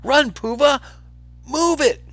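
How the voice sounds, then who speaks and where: A man voicing an excitable, bossy, fast-talking meerkat sidekick in an exclamatory way for a storybook. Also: very high voice, dry recording, soft background music, pitched above the performer's own voice, exaggerated voice. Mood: alarmed.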